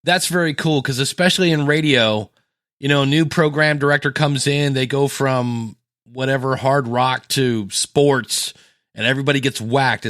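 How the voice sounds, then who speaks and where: Male American podcast host, lowish voice, chatty solo delivery with self-deprecating asides, recorded dry. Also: a bit bright, no echo. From Ohio, United States. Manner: rambling, self-deprecating asides, acts out quotes. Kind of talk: casual solo podcast talk.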